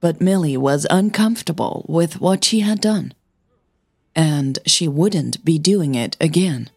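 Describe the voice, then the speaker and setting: A woman narrating scripted audio fiction as a podcast storyteller, with measured, deliberate phrasing and short pauses. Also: low voice, a little mellow, husky, no room sound, studio quality.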